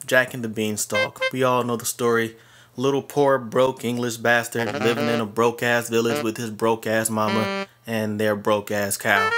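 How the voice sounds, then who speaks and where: A man narrating a scripted comedy story as host, in a sardonic, slangy and profane manner. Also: on the low side. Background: music.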